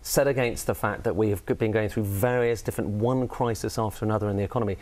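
A low-voiced man speaks fast on a political panel. He explains economics thoughtfully, qualifying each point as he goes. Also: steady tone, a little mellow. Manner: thoughtful, hedging, many "kind of" and "I mean". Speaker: economics commentator. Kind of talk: panel debate.

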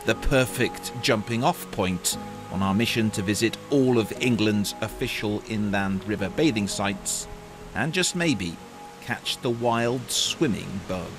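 A man's voice: fairly low pitch, a little slow, over music. A man narrating a scripted television news report as a correspondent.

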